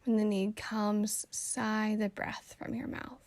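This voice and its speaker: A woman guiding a meditation in a calm, soothing, gently instructive way, with soft phrases that trail toward a whisper. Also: mid-range voice, slow, no echo. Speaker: meditation guide. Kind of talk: slow guided meditation narration.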